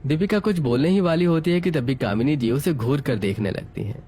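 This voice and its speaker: A man's voice: a little high, a little fast, warm tone. A man reading scripted narration for a family moral story, setting out scenes as the narrator.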